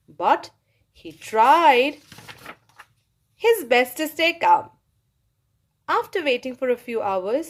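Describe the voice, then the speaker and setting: A woman reading a children's story aloud for her channel, in a cheerful, expressive way. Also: sits high. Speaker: storybook reader, channel host.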